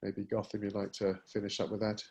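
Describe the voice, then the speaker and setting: A man's voice: lowish voice, monotone, a little fast, darkish tone. A man hosting a business webinar, moderating the discussion in a conversational way. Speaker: webinar host.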